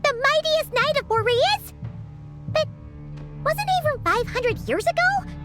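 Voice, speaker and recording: A woman voicing a tiny, chatty floating girl companion who asks curious, excitable questions in a video game. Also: extremely high pitch, measured pace, over music.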